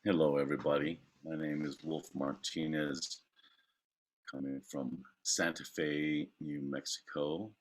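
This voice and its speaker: Extremely deep, flat-voiced man. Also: unhurried. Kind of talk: brief self-introduction.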